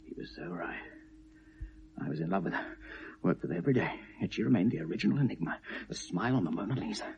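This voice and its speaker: An actor playing a tormented, obsessive lovelorn British man confessing to police, halting and bitter, shifting from hushed to anguished in a 1970s radio drama. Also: lowish voice, expressive, creaky, dull audio. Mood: bitter, subdued. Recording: noisy vintage radio play recording.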